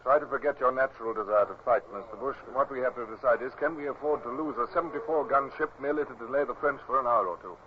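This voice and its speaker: A man's voice: mid-range voice, quick pace, hardly any pauses, close to an everyday voice. A man acting a grave, resolute naval captain in an old radio drama, with a British accent and a clipped, formal, commanding manner. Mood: sober, deliberate. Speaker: lead actor and narrator. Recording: muffled, noisy old radio drama recording.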